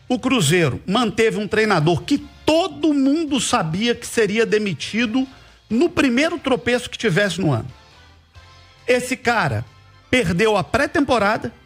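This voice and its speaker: A male radio sports commentator with a high voice, making his case with firm, repeated emphasis. Faint music sits under his words. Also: fairly bright tone. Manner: emphatic, repeats points for weight.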